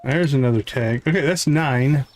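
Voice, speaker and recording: A man's voice: medium pitch, moderate pace. Casual man streaming a game. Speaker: live streamer playing a farming game. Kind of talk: casual live game commentary, chatting with viewers.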